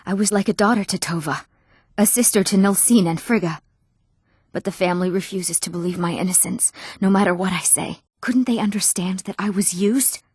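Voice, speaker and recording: A woman's voice: mid-range voice, brisk, a little mellow, slightly rough, no room sound, studio quality. A woman voicing an emotive, dramatic young woman in a fantasy video game. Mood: bitter, grieving. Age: young adult. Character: eager, wronged young woman of a fantasy world. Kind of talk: video game character voice lines.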